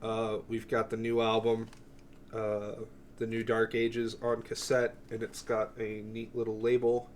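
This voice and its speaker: A man's voice: lowish voice, completely flat delivery, measured pace, a little hiss or hum. A man giving an offhand, rambling show-and-tell as a collector, swearing freely and often interrupting himself. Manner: offhand, profane, self-interrupting. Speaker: music collector presenting a cassette collection.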